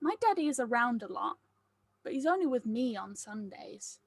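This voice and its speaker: Woman as a curious, blunt small child, high-pitched. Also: big pitch swings, normal speed, gravelly, dry recording, full character voice. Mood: earnest. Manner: earnest, simple, direct. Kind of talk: acted script table read.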